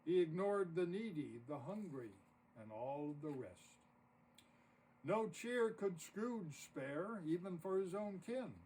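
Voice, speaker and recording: A man narrating a rhymed story poem expressively, with dramatic, deliberate phrasing. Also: high-pitched, lively intonation, darkish tone. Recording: distant mic with audible noise.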